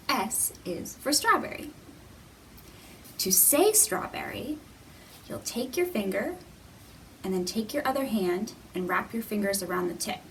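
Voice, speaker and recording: A woman hosting storytime for young children, teaching and reading aloud in simple, repetitive, encouraging phrases, recorded in a library room. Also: medium pitch. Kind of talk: instructional talk and picture-book read-aloud for young children. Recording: distant mic, noisy room.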